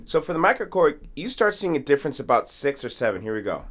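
A man's voice: fairly high pitch, very animated, fast, a bit bright, soft background music. A man in his thirties hosting an informal instructional tutorial, talking straight to viewers from a small studio. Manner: informal, addresses viewers directly. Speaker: music tutorial host, musician. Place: small home studio with acoustic foam. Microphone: studio condenser mic with pop filter.

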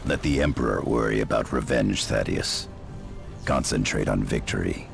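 Grim, gravel-deep veteran space marine. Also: male voice, moderate pace, full character voice. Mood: stern, grave. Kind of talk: video game voice acting. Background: loud music.

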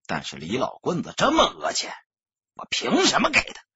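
A man voicing a hot-tempered, defiant street tough in an audiobook, husky and blunt. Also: fairly high pitch, wildly swooping pitch, moderate pace, sharp tone, dry recording, dull audio, exaggerated voice. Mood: resentful. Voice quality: husky, gruff. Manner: blunt, grumbling.

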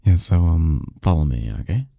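Snarky bookish male research assistant played by a man for ASMR roleplay; deep, gravelly, wry, fond, chuckling under his cynicism. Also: lively intonation, mellow, no echo, clean studio sound, exaggerated voice. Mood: wry, casual. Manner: wry, halting and chuckling, drifts into bookish lecturing. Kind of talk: intimate ASMR audio roleplay.

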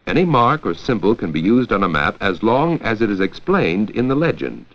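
An American man narrating a 1940s educational film from a script, with a clear, measured storytelling delivery. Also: on the low side, very animated. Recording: dull, lo-fi 1940s 16mm film soundtrack.

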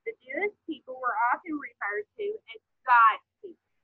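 A woman in her thirties reading a story to children on a video call from home, in a lively, expressive way. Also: sits high, moderate pace, breathy edge, dry recording. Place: home room with ceiling fan. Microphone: laptop on a video call. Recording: tinny video call audio.

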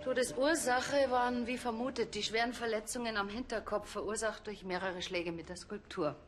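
A woman's voice: a little high, steady tone, normal speed, close to an everyday voice. Woman acting a clinical pathologist, noisy room. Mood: clinical, precise. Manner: clinical, explanatory. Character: brisk, precise female forensic pathologist. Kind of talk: naturalistic TV crime drama acting.

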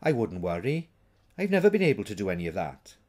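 A man's voice: on the low side, very animated, fast talker, a little mellow. A man voicing a practical, teasing witch woman in a storybook, with a blunt, wry, no-nonsense manner. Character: brisk, practical, teasing witch woman, sharp-tongued friend.

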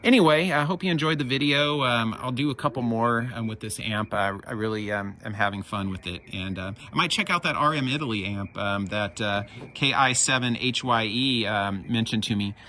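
An American man chatting casually as a ham radio operator and video host, halting and informal. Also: medium pitch, sharp tone. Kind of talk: casual ham radio chat and vlog narration. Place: covered backyard patio, outdoors. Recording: faint outdoor background noise.

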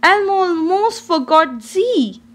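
A woman reading a children's storybook aloud in an expressive, deliberate, child-directed way. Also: extremely high pitch. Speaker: storybook reader.

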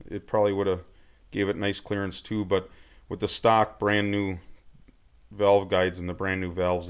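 Man, low pitch, plain-spoken, folksy, informal explainer, hands-on project update, handheld camera mic, indoor workshop. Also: smooth. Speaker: amateur tractor rebuilder presenting a project update.

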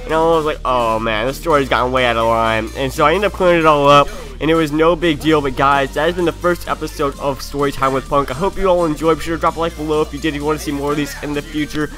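A man's voice: fairly high pitch, steady tone, fast, fairly bright tone, soft background music. A young man, a game streamer, tells a story in a casual, slangy way, acting out dialogue as he goes, on a close mic. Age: young adult. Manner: informal, slangy, acts out dialogue. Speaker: streamer telling a story over gameplay. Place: indoor room at a gaming setup.

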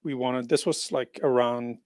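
A man's voice: medium pitch, steady tone, unhurried, a little mellow, no echo, studio quality. A middle-aged man from Norway, a panel guest, talking in a casual, rambling way full of fillers into a handheld mic. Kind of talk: panel conversation. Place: meeting room.

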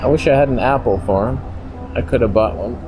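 Casual male vlogger, 30s, dry humour, average pitch, recorded handheld on the move with plenty of ambient noise. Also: brisk. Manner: wry, joking asides. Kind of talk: casual walk-along vlog commentary. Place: on the move through a market and outdoors. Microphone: handheld camera at arm's length.

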